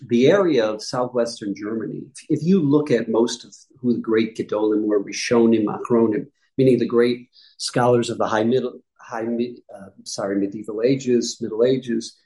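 A middle-aged man with a mellow, warm tone tells his story in a loose, explanatory way. He often stops to correct a word or add an aside as he goes. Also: mid-range voice, normal speed, low-quality recording. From upstate New York. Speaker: guest rabbi. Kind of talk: conversational interview answers.

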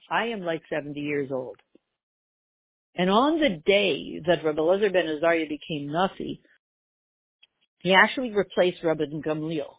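A woman with a husky, slightly low voice teaches a religious class. She works through ideas with rhetorical questions and homely comparisons. The sound is dry and thin, like a phone line. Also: no echo. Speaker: Torah teacher.

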